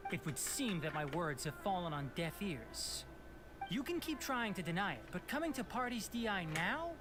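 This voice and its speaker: Expressive, firm young scholar-guardian. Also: male voice, sits high, moderate pace, music in the background. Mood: firm, defiant. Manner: articulate, firm, pointed. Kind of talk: scripted video game voice acting.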